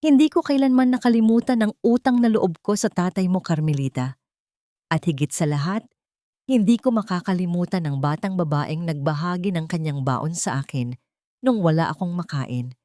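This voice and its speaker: A woman with a Filipino accent voicing a gentle, earnest, determined male prosecutor in an audio drama. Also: fairly low pitch, no room sound, clean studio sound, close to an everyday voice. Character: gentle, determined grown man, a loyal prosecutor.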